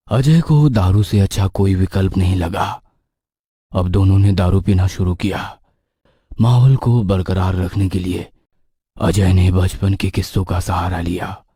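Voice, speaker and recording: A man reading a scripted horror story as its narrator, with a husky voice. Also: sits low, dark tone, dry recording, clean studio sound.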